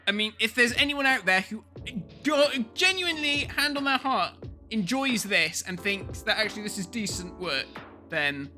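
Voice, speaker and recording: A man's voice: high-pitched, animated, moderate pace, bright, forceful tone, soft background music. A man co-hosting a casual film commentary, sweary, mocking and giggly. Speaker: podcast co-host.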